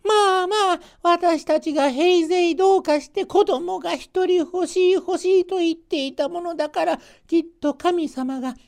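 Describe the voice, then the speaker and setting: A kindly, delighted old granny from a storybook folktale, put on by a male reader. The voice is extremely high and thin, a falsetto with drawn-out, singsong and polite phrasing. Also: gravelly. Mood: amazed, delighted. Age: older. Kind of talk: storybook character voice.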